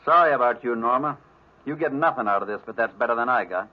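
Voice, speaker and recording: An actor playing a threatening, contemptuous nightclub gangster in a 1940s radio crime drama. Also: male voice, medium pitch, fast talker, close to an everyday voice. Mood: bitter. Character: menacing, bitter male nightclub big shot with a gun. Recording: muffled old radio recording.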